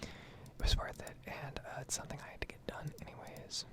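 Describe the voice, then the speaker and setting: A woman speaking in a breathy whisper, rambling casually with some swearing in an ASMR gaming video. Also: fairly quick.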